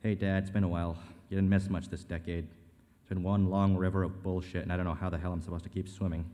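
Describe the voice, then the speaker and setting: A man's voice: sits low, level tone, fast talker, dark tone, close to an everyday voice. A man reading the part of a bitter, grieving man in a script, sardonic and self-loathing, in an echoey hall. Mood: bitter, weary. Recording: echoey hall, long reverb.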